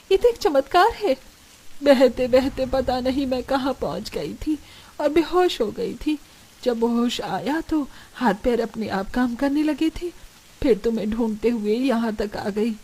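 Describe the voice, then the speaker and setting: A woman's voice: high voice, darkish tone. A woman playing an emotional, devoted woman of a poor village in a cartoon moral story, with a plaintive manner. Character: distressed, devoted women of a poor flood-hit village.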